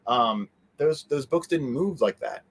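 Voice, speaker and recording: A man of average pitch speaks as a guest in a casual interview. He rambles conversationally, often breaking off and circling back as he explains things. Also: expressive, a little slow, fairly bright tone, breathy edge.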